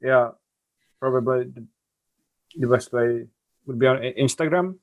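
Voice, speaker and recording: Male guest, Czech accent, slow and hesitant conversational delivery, mid pitch. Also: highs cut off. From northern Czech Republic. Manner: hesitant, halting phrasing. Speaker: podcast guest, artist. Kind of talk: casual interview talk.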